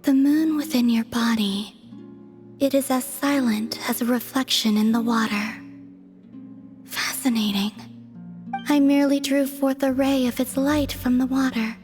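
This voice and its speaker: A slow, serene, breathy moon goddess. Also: female voice, high-pitched, steady tone, darkish tone, faint music behind. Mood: serene, solemn. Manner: formal, measured, detached. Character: serene, aloof young moon goddess, softly grave and enigmatic. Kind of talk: video game cutscene voice acting.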